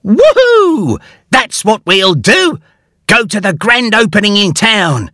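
A man voicing an excitable, cheerful young male character in a storybook, eager and full of exclamations. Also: high voice, slow, dry recording, studio quality, pitched above the performer's own voice, heavily acted. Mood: excited.

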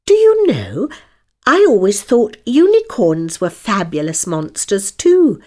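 A woman's voice: mid-range voice, wildly swooping pitch, slow pace, a little mellow, voice pushed up, exaggerated voice. A woman voicing a polite, curious young girl in a storybook, with a courteous lilting manner. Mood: amused wonder.

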